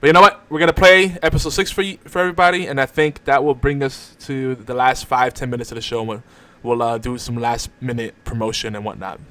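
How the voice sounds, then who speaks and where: A man's voice: mid-range voice, steady tone, fast talker, fairly bright tone. A man hosting a show in a casual, chatty style. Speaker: show host. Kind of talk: casual chat.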